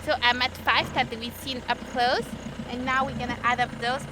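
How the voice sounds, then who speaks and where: A woman chatting excitedly on a travel vlog from a safari jeep, gushing and exclaiming in a very high voice. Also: fairly quick, noisy background. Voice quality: very high-pitched when excited. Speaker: travel vlogger.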